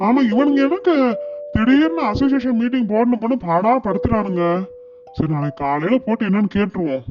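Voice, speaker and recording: High-voiced, harried cartoon dad played by a man. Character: harried, scheming husband and father. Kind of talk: Tamil cartoon story dialogue. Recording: dull lo-fi sound, music behind.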